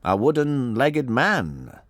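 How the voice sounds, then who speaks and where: A man reading an audiobook, voicing a shrewd, courteous gentleman detective in a measured, smooth-talking manner. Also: fairly low pitch, very animated, no room sound. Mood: bland, probing. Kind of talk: audiobook character voice.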